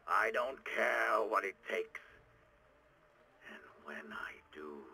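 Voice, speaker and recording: An actor playing a weary, driven middle-aged revolutionary leader in a video game audio log, with a breathy, gritty half-whisper and halting, brooding delivery. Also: male voice, on the low side, sharp tone, a little hiss or hum, heavily acted, low-quality recording. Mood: angry, bitter. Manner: brooding, deliberate, halting monologue.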